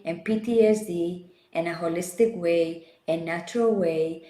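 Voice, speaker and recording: A woman's voice: lowish voice, a little mellow. Enthusiastic woman in her forties, roomy echo. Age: 40s. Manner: enthusiastic, laughs often, non-native phrasing. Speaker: podcast host. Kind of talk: casual chat.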